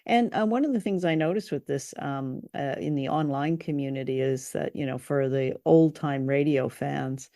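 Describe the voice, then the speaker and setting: A woman's voice: sits low, moderate pace, hardly any pauses, mellow, dry recording, clean studio sound. A woman co-hosting a show, chatting casually and appreciatively about stories, with some hesitant phrasing. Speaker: co-host of an old-time radio drama show.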